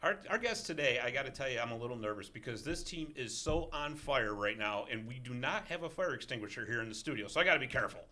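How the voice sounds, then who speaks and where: A man hosting a college podcast in a studio, energetic and jokey with emphatic delivery. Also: mid-range voice, fast, hardly any pauses. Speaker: podcast host. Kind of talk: upbeat interview hosting.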